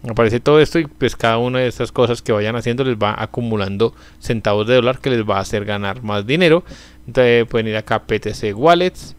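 A young man speaks in a middle, unremarkable pitch, walking through a website step by step. His delivery is casual and explanatory, sprinkled with everyday fillers. He sounds close to the mic in a small room. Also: fast, clean tone. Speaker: channel host. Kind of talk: screen-recorded tutorial walkthrough.

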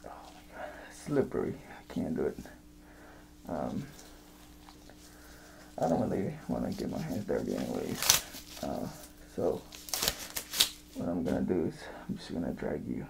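A man playing a creepy, unhinged evil jester in an ASMR horror roleplay, muttering and rambling haltingly, often dropping to a whisper. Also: very deep voice, wildly swooping pitch, vocal fry, heavy background noise, exaggerated voice. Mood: dithering, menacing.

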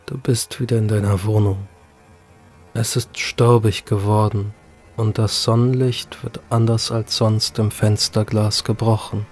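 Slow, low-voiced male horror narrator. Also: darkish tone. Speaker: audiobook narrator. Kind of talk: scripted horror story narration. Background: music.

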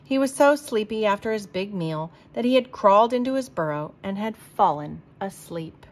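A woman reading a children's storybook aloud in a deliberate, expressive manner. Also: medium pitch. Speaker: storybook reader.

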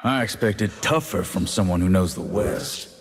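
An actor playing a grim, vengeful video game gunslinger with an inner demon, in a slow, menacing drawl. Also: male voice, low-pitched, lightly acted. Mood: vengeful, brooding. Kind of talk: dramatic video game voice lines. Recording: long reverb effect on game audio.

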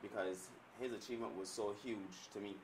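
A man in his thirties from Barbados speaks with a very low voice in a measured, reflective way. He sits some distance from the mic, and a steady noise hiss runs under his words. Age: 30s. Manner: measured, reflective storytelling with restarts. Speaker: music business executive. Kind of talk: interview answers. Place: indoor studio set with glass table and armchairs.

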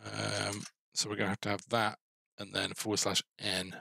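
A man's voice: fairly low pitch, monotone, normal speed, gravelly, bright, forceful tone, dry recording. A middle-aged man giving casual, mildly grumbling, self-deprecating commentary as he codes a game, on a headset mic. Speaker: game developer.

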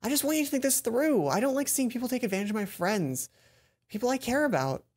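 A man voicing a caring teenage schoolgirl roommate from a visual novel, reading her lines in an earnest, soft-spoken way. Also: extremely high pitch, expressive, fast talker, pitched above the performer's own voice. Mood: concerned.

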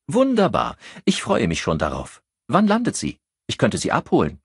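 Man voicing an ambitious, tense policewoman, lifted lively pitch. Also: normal speed, husky, no echo, clean studio sound, exaggerated voice. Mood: falsely friendly. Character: tense, ambitious female police inspector, bossy and insecure. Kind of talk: audiobook character dialogue.

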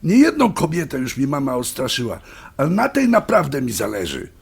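A man playing an exasperated, suspicious grown son in an audio drama, speaking insistently in a raspy voice. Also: medium pitch, wildly swooping pitch, a little fast, heavily acted. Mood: insistent, annoyed. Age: adult. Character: exasperated grown son confronting his scheming mother.

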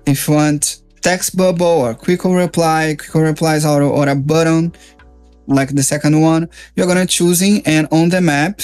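Higher-pitched male tutorial presenter. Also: fairly even intonation, normal speed, a little mellow, clean tone. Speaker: software reviewer and tutorial presenter. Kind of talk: informal screen-share software walkthrough. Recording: music playing behind the voice.

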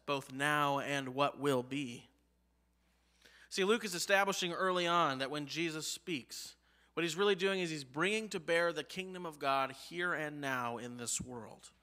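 A man in his thirties with an American accent, preaching a sermon from a church pulpit. He lifts his voice on key phrases and lets line endings fall low and soft. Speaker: pastor. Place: church sanctuary, behind a pulpit. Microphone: pulpit mic, medium-wide camera.

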